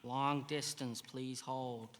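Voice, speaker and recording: A man's voice: medium pitch, steady tone, slow pace, a bit bright, long reverb tail. An actor playing a dry, by-the-book male army switchboard soldier with clipped, procedural delivery.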